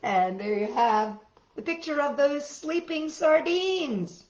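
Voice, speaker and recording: A woman hosting a children's storytime, gently chatting and reading aloud to young viewers with encouraging little questions. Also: high voice, lively intonation, takes it slowly. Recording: roomy echo, slightly dull sound, guitar music.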